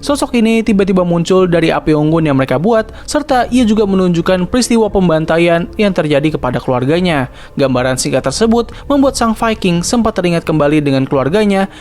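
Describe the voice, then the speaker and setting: Higher-pitched young man narrating a recap. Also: darkish tone. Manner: slangy informal asides between recap lines. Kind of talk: scripted recap narration with casual asides.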